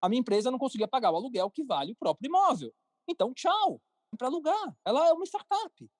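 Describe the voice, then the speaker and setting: A man's voice: extremely high pitch, sing-song, quick pace, no echo, clean studio sound. A man with a Brazilian Portuguese accent speaking casually and emphatically as a podcast guest, often repeating phrases to drive points home. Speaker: podcast guest, cartoon creator and entrepreneur. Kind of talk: casual interview talk.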